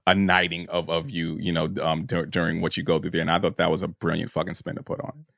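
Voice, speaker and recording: A man co-hosting a podcast, summing up a point in casual discussion with measured, halting delivery. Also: deep voice, flat delivery, vocal fry, a bit bright, no room sound, clean studio sound. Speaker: podcast co-host.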